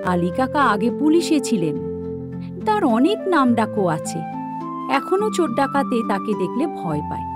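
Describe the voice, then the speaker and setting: Animated high-voiced woman narrator. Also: moderate pace. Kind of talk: scripted story narration for a cartoon. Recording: music behind the voice. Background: music.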